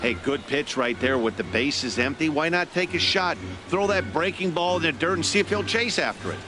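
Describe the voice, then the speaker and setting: A man's voice: on the high side, lively intonation, normal speed, natural voice. A man playing an easygoing, knowing baseball color analyst in a video game, commenting conversationally. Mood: analytical. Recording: stadium noise behind the voice.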